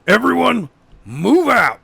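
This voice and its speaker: A man's voice: very high voice, sing-song, takes it slowly, gravelly, pushed and bright, breathy edge, no echo, voice pushed up, exaggerated voice. A man voicing an urgent police officer who barks orders over the radio in an audiobook reading. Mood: urgent, commanding.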